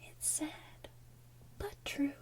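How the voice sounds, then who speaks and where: A woman voicing a scheming, coaxing sea witch villainess from an animated musical, in theatrical, drawn-out soft singing and whispered lines. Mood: mock-pitying, pushy.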